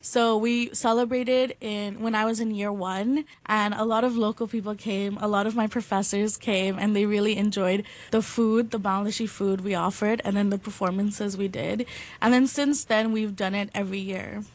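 A woman's voice: medium pitch, fairly even intonation, moderate pace. A young woman in her twenties, a guest in an interview, answering in a cheerful casual way and laughing often. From Hong Kong. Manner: cheerful, laughs often, stretches words when listing.

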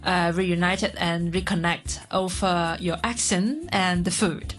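A woman's voice: lowish voice, a little slow, faint music behind. A woman hosting a podcast interview, listening closely and asking her guests questions. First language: not Malay. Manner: attentive, with frequent mm-hmm backchannels. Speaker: host.

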